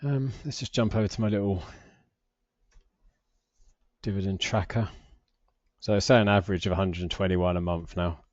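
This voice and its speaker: A British man in his forties with a low voice talks casually through a screen share. He rambles and hesitates, often putting himself down. The recording is slightly dull but quite dry. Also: steady tone, normal speed, long pauses, darkish tone, no echo. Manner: rambling, hesitant, self-deprecating. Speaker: personal finance vlogger.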